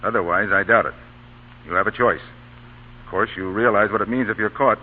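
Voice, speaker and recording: Man as a low-voiced Union colonel, vintage radio. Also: fairly quick, natural voice. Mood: grave sympathy. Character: Union colonel, stern yet kindly, fatherly soldier. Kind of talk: 1930s-1940s radio acting. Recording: tinny, hissy old radio broadcast.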